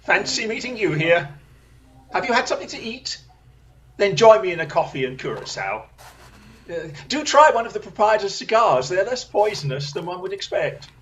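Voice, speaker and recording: A keen, assured gentleman detective as acted out in an audio drama, speaking in formal, precise phrases. He takes command with theatrical confidence, at a medium pitch. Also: big pitch swings, moderate pace. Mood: brisk, genial.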